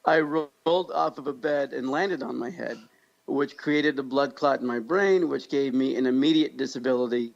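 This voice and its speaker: A man's voice: medium pitch, moderate pace, vocal fry, lo-fi sound. An American man co-hosting a podcast in casual conversation, telling stories in an upbeat, joking way. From Pakistan-born, grew up in Kansas, United States. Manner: upbeat, jokey storytelling. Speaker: podcast co-host.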